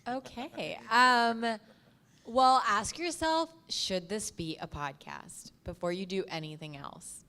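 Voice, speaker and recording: A woman speaking on a panel as a podcast producer, giving practical advice in measured, emphatic phrases. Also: mid-range voice, pushed and bright. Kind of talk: panel talk, advice to an audience.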